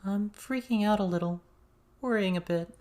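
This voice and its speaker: A woman's voice: mid-range voice, normal speed, a little mellow, natural voice. A woman playing a worried, hesitant teenage girl in an audiobook. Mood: anxious, hesitant. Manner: hesitant, anxious.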